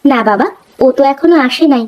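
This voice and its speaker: A young village daughter-in-law from a cartoon, played by a woman, with a fairly high voice. She is polite, homely and dutiful. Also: animated, a little fast, a little mellow, lo-fi sound. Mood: polite, caring. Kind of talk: cartoon voice acting.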